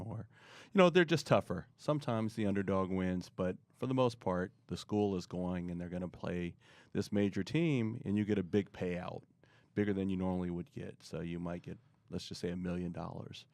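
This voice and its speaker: A middle-aged man answering interview questions in a relaxed, rambling way, often pausing with fillers. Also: low-pitched, no room sound. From born in Korea, raised in the US. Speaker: guest, marketing expert.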